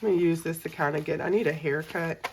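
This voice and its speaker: Low-voiced woman with a scratchy cold voice. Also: fast talker, darkish tone. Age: middle-aged. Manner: chatty, many ums, counts money aloud. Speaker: budgeting channel host. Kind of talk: casual chat while cash stuffing.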